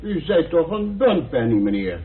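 High-voiced, animated male juror. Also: normal speed. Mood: polite, probing. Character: polite but shrewd, persistent jury member. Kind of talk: Dutch radio play acting. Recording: muffled, band-limited old radio recording.

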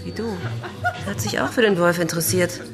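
A woman's voice: lowish voice, very animated, fairly quick. A woman acting a world-weary, teasing and wary street prostitute in a naturalistic German radio drama, with a languid, blunt manner. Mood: guarded. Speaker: actor. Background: music behind the voice.

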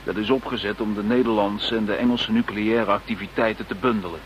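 An actor playing an unruffled, matter-of-fact British chief police inspector in a radio drama. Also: male voice, lowish voice, fairly even intonation, brisk, muffled recording, close to an everyday voice. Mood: explanatory. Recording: faint background noise, old radio play.

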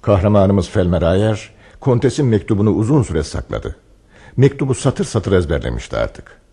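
A man narrating a radio drama as a solemn storyteller, with measured, dramatic delivery. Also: on the low side, sing-song, dark tone, slightly rough, exaggerated voice. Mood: solemn, literary. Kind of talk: scripted radio play narration.

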